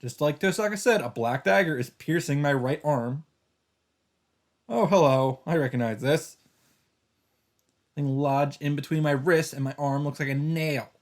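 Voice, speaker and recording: A man's voice: a little high, a little slow, long pauses. A man hosting a let's play, reading visual novel text aloud in an informal, dramatic way with casual asides. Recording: game music behind voice. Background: visual novel soundtrack.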